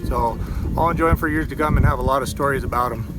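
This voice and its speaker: A man's voice: mid-range voice, fast, low-quality recording. A man presenting a hunting video outdoors, talking to the camera in a casual, conversational way. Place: outdoors on a snowy mountain.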